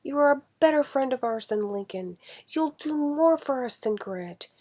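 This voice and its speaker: A woman voicing a jubilant, worshipful political crowd in a storybook, with loud, drawn-out, declaiming cries. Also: high-pitched, animated, voice pushed up. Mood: exultant adoration. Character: jubilant, worshipful mob hailing its political saviour.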